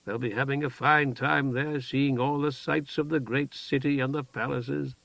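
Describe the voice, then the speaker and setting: A man voicing a calm, kind older wizard who speaks softly and plainly. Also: medium pitch, normal speed, creaky, no room sound. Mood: gentle. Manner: soft-spoken, warm and plain. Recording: dull lo-fi dry recording.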